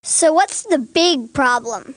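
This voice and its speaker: A woman's voice: high-pitched, lively intonation, slow, no room sound, studio quality. A woman voicing an earnest young boy who works as a lawyer's assistant in a cartoon, asking eager, methodical questions. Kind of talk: animated educational courtroom dialogue.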